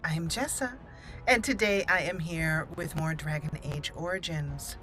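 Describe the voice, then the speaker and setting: A woman's voice: low voice, a little slow, fairly bright tone, faint music behind. A woman hosting a gaming channel, giving casual, chatty gameplay commentary and explaining as she goes.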